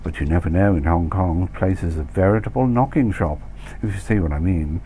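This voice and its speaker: A man's voice: sits low, fairly quick, mellow, a little hiss or hum, close to an everyday voice. A man voicing a chatty, nosy middle-aged expat wife who dispenses worldly, condescending advice in a comic story reading. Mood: nosy, scandalized. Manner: gossipy, cliché-laden, condescending advice-giving. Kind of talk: acted dialogue in comic short story reading.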